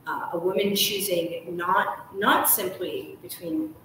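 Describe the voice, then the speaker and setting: Female interview host, lowish bright voice, effusive and wordy, recorded at a distance in an echoey room. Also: sing-song, slow pace. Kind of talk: live on-stage style book interview, conversational. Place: large ornate hotel room, indoors. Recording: echoey room, distant mic, faint noise.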